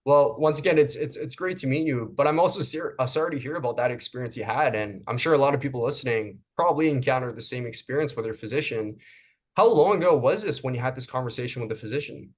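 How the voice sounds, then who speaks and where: A man's voice: medium pitch, fast talker. A man hosts a casual interview as a fitness coach. He sounds friendly and encouraging, filling his questions with "um" and little restarts.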